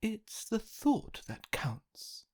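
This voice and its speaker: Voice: mid-range voice, huge pitch swings, extremely slow, breathy edge, voice pushed up, heavily acted. A storybook narrator voicing an encouraging, consoling mother boa constrictor, with music behind.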